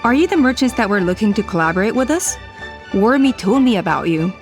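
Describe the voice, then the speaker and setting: Woman playing a game tribe elder, calm authority, businesslike, mid voice. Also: medium pitch, brisk, soft background music, natural voice. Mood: composed. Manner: composed, authoritative. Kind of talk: video game voice acting.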